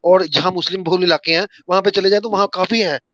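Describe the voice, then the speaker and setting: A man's voice: sits high, fairly even intonation, fast talker, a bit bright, muffled recording. A man from Punjab, India, with a Punjabi accent, calling in to chat casually about politics in a deferential way. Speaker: caller.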